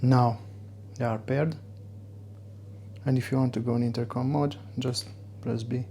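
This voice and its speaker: Mellow, gravelly Romanian-accented man. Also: medium pitch, steady tone, slight background noise. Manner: halting, searching for words. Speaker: motorcycle gear reviewer. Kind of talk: casual unboxing and demo talk.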